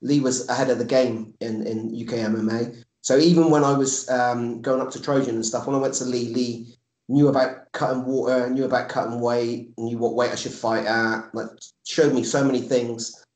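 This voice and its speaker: A man's voice: mid-range voice, steady tone, normal speed, a little mellow. Englishman in his forties, echoey room. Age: 40s. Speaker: podcast guest, MMA coach and fighter. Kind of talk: casual chat.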